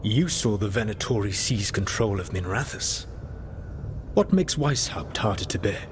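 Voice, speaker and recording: Man acting ancient elven mentor, low voice, grave, measured, formal, calculating, video game dialogue, noisy rumbling background. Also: expressive, close to an everyday voice. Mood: grave, probing.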